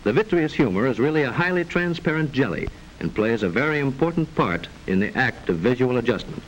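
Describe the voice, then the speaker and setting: A man narrates in the formal style of a 1940s educational film. His medium-pitched voice moves through lively rises and falls while staying measured and instructive. A steady layer of noise from the old soundtrack sits under the speech. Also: poor audio. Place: voice-over narration recording.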